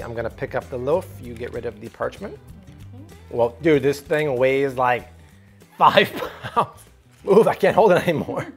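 A man in his thirties hosting a cooking video in a home kitchen, energetic and jokey, chatting with his crew as he cooks. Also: a little high. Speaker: cooking show host. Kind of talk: upbeat cooking demo.

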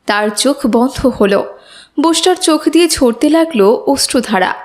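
A woman's voice: high-pitched, expressive, moderate pace. A woman narrating a moral cartoon story in a scripted storytelling style.

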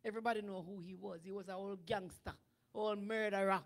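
A middle-aged woman preacher delivering a sermon from a church stage into a handheld microphone, rising from chatty storytelling to shouting. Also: mid-range voice, a little fast. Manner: animated preaching that builds to shouting. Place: church sanctuary with draped stage.

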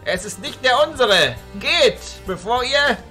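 A man playing an imposing archchancellor illusionist, booming and stately, in a fantasy audio drama. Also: expressive, a little slow, sharp tone, faint music behind, full character voice. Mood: commanding.

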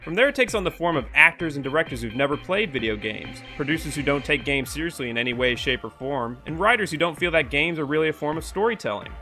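A man's voice: on the high side, lively intonation, fast talker, hardly any pauses, pushed and bright, faint music behind. A man in his twenties narrating a scripted video essay as host, wry, sarcastic and profane, recorded in a small room at home. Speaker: host of a video game movie review show.

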